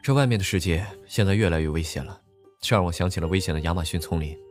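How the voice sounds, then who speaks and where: A man's voice: sits low, fast, lightly acted. A man playing a bookish young student loner in an audio drama, speaking matter-of-factly. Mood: flat, resigned. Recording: music under the voice.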